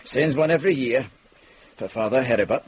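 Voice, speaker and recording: An actor playing a proud, grudging monastery cook with a Scottish accent and a sardonic edge, in a radio drama. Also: male voice, mid-range voice, fairly quick, a little hiss or hum, lightly acted, poor audio. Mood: prickly, sardonic. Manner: sardonic, prickly. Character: proud, grudging male monastery cook, touchy about his kitchen.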